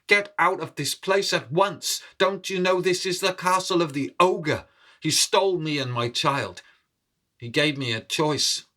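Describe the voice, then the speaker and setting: A man's voice: on the high side, a little slow, bright, forceful tone, pitched above the performer's own voice. A man voicing a desperate, fearful young mother held captive, urgent and distressed, in a theatrical told folk tale. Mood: frantic, despairing.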